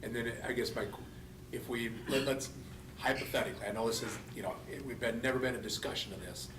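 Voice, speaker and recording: A man's voice: medium pitch, steady tone, fast, reverberant, recorded from a distance, background noise. A man on a school board, thinking aloud hesitantly as he raises his concerns. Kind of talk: public meeting discussion. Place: school board meeting room.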